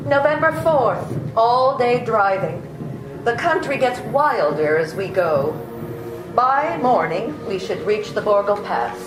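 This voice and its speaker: A woman performs an anxious young heroine of a gothic radio play. The voice is high and swoops expressively, with slow, measured phrasing. Music plays behind it in an echoey room. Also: lively intonation, noisy background. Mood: apprehensive. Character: anxious young woman travelling with vampire hunters. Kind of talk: old-time radio drama acting. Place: live performance in a public library. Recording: roomy live stage, music behind.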